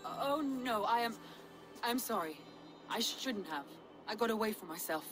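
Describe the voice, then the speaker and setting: An actress playing an earnest, duty-bound Norse noblewoman in a dramatic game cutscene, speaking in a heartfelt, measured way. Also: fairly high pitch, expressive, over music. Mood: flustered, apologetic.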